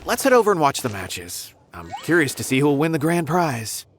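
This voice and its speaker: A man voicing an ordinary, friendly young card player in an animated game, speaking in a casual, conversational way. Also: a little high, moderate pace, slight background noise. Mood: curious.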